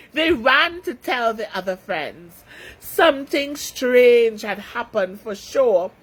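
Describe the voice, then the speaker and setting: A woman storyteller reading a scripted children's story with expressive narration. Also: on the high side, breathy edge, poor audio.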